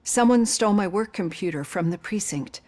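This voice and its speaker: An actress playing a psychologist in her fifties who asks gentle, probing questions. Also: medium pitch, expressive, normal speed, lightly acted. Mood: earnest. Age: 50s. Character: female psychologist in her fifties, caring and composed.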